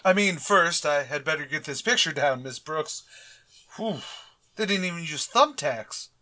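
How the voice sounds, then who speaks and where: A man plays a mild and naive male teacher in a radio comedy. He is polite and earnest, with a slightly high, bright voice. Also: expressive, measured pace. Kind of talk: old-time radio sitcom acting.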